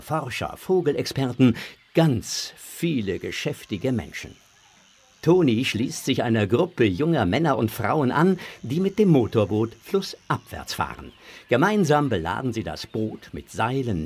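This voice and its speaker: A male narrator tells a scripted children's story. His mid-pitched voice is slightly rough and husky, with very expressive melody. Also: a little slow.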